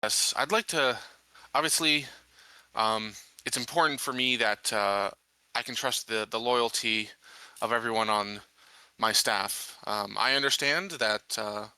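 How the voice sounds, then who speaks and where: A man playing a tabletop game, talking casually and improvising role play in a measured, hesitant way as he searches for words. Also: medium pitch, pushed and bright, smooth, no echo.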